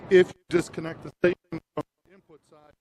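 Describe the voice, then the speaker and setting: A man's voice: fairly high pitch, level tone, brisk, a little mellow. A middle-aged man giving explanatory product talk as a company representative, into an interviewer's handheld microphone. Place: large busy trade show hall, indoors. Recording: noisy exhibition hall behind voice.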